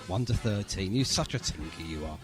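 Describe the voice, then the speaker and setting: A man's voice: low-pitched, expressive, fairly quick, loud music behind the voice. A man hosting a casual radio interview with chatty, teasing banter. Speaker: radio show host.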